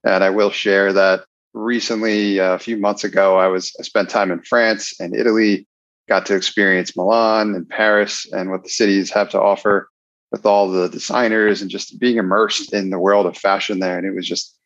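A man's voice: fairly low pitch, level tone, moderate pace, a bit bright, dry recording. A man hosting a podcast interview, friendly and upbeat as he welcomes and questions his guest. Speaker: podcast host. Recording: dull, dry lo-fi sound.

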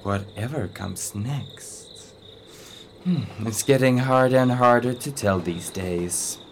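Older anxious businessman, slow-spoken, hesitant, faint insect ambience. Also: medium pitch, a little hiss or hum. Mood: worried. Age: 60s. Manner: hesitant, worried, halting. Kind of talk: radio drama acting.

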